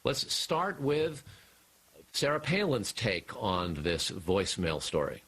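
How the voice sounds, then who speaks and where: A man with an American accent reporting live as a television news correspondent, deliberate and emphatic. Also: lowish voice, sing-song, a bit bright, breathy edge.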